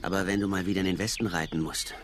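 An actor playing a rugged, loyal young frontier scout, plain-spoken, in a German western radio drama. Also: male voice, low-pitched, steady tone, very fast, background noise, natural voice. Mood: subdued, warm.

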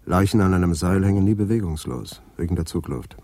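An actor playing a middle-aged police detective in a radio play, with dry, wry delivery. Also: male voice, low-pitched, lively intonation, a little fast, warm tone. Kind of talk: radio drama acting.

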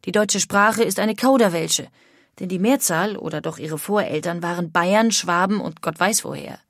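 A woman's voice: lowish voice, normal speed, dry recording, studio quality. An actress playing an outspoken, critical emigrant woman of the 1800s, reading her letters home in a formal way. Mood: scornful. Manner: formal, opinionated. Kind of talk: dramatic reading of historical letters.

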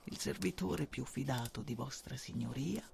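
A man's voice: medium pitch, expressive, moderate pace, vocal fry, a little mellow, a little hiss or hum. A man acting a devoted, servile informer who formally and deferentially reads out secret reports to his lord in a radio drama. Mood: deferential, grave. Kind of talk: radio drama letter narration.

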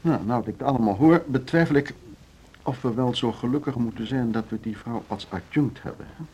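An actor in a Dutch radio play playing a middle-aged board chairman, formal, deliberate and courteous but unbending, with a Netherlands accent. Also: mid-range voice, a little mellow, slight background noise, natural voice, poor audio. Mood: grumbling, reflective. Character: measured, legalistic male board chairman of a music school.

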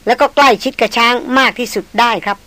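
A woman putting on a calm, gruff jungle hunter for a storybook. He talks slowly and steadily, always polite, in a somewhat high voice. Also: no echo.

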